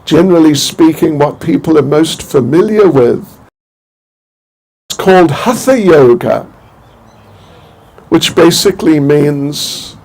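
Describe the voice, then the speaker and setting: An older man from New Zealand giving an informal spiritual talk, halting and rambling, with many restarts and small chuckles. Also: a little high, animated, vocal fry, a little mellow. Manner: chuckles to himself. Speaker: spiritual teacher.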